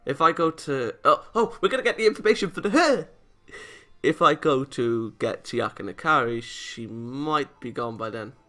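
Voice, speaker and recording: A man with a bright, forward voice at a middle pitch reads a visual novel aloud as a let's play host. He narrates and reacts in an animated, exasperated manner. Music plays faintly behind him. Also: moderate pace.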